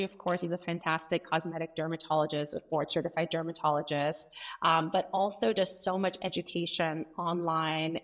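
A woman hosting a podcast interview, enthusiastic and full of praise for her guest. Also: fairly low pitch. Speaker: podcast host.

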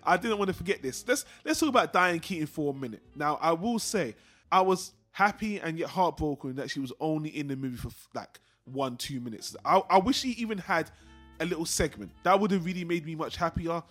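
Fast, high-voiced man in casual film chat. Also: lively intonation, a bit bright, faint music behind. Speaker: film discussion show co-host. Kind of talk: casual opinionated film discussion.

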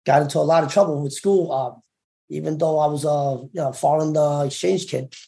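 Gravelly-voiced man telling his life story. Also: a little high, mellow. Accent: Cantonese-tinged New York English. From Brooklyn, New York. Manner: halting, many fillers and restarts. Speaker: interview guest, former gang member. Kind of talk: candid interview storytelling.

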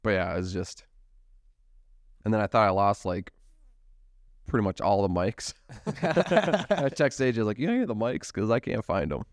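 A man's voice: on the low side, animated, measured pace, long pauses, dry recording, clean studio sound. A young man co-hosting a podcast, telling a rambling story in casual chat and laughing often. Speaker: podcast co-host.

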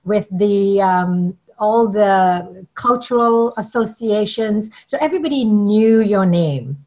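A woman's voice: medium pitch, lively intonation, slow pace, warm tone, muffled recording. A middle-aged woman from Trinidad and Tobago, telling her story conversationally as an interview guest. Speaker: interview guest, networking coach.

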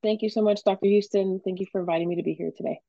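A woman's voice: medium pitch, steady tone, racing, gravelly, warm tone, no echo. Woman professor guest on dull, dry line. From Miami, Florida. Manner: fluent, explanatory, frequent fillers. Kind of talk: interview answers.

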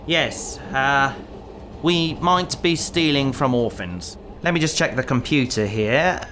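An actress playing a reluctant, sheepish pacifist space hero in a sci-fi audio drama, with a hesitant, mild-mannered, protesting way of talking. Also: female voice, very deep voice, background noise, exaggerated voice.

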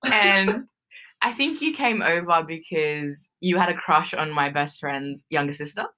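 A woman in her twenties leads a relaxed podcast chat, steering it with questions and giggling easily. Her voice sits slightly low but swings widely in pitch and rings bright. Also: slow. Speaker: podcast co-host. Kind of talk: casual chat.

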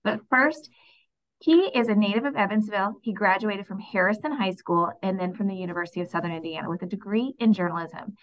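An American woman with a mid-pitched voice acts as an interview host. She reads a guest introduction in a presentational way. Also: a little fast, rough recording. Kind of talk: reading a guest bio.

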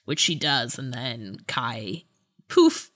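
A woman's voice: extremely low pitch, lively intonation, slow pace, fairly bright tone. A woman co-hosting a podcast in a casual book discussion, thinking aloud with some hesitation. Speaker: podcast co-host.

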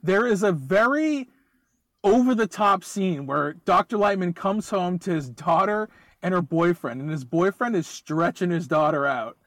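A man's voice: sits high, expressive, normal speed, a bit bright, no echo, studio quality. A man co-hosting a casual podcast, joking and teasing, laughing often as he talks. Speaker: podcast co-host. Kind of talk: casual chat.

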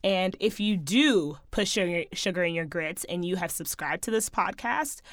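A woman hosting her own podcast in a sassy, chatty way, talking straight to her listeners, her voice a little hoarse. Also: on the low side, animated, normal speed, no room sound, studio quality. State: slightly hoarse, losing her voice. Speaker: podcast host. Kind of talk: casual solo podcast chat.

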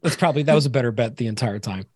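A man's voice: medium pitch, rapid-fire, darkish tone, breathy edge, dry recording, clean studio sound. A man co-hosting a podcast in casual roundtable conversation. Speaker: podcast co-host.

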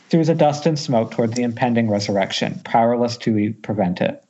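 A man narrates screenplay directions in a mellow, somewhat raspy mid-range voice, occasionally tripping on words. It sounds dull, as if over an internet call. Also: medium pitch. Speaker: narrator reading stage directions. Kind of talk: screenplay table read. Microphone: Skype call.

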